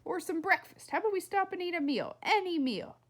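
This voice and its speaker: A woman's voice: extremely high pitch, lively intonation, fairly quick, a bit bright, voice pushed up. A woman reading the part of a young girl's evasive father in a storybook, stalling and deflecting with false breeziness. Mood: stalling, breezy.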